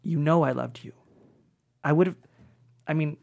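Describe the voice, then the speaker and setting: An actress playing a sardonic, wounded, sickly friend and old lover in a dramatic script read, with wry, needling delivery. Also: female voice, deep voice, a little slow, creaky, darkish tone.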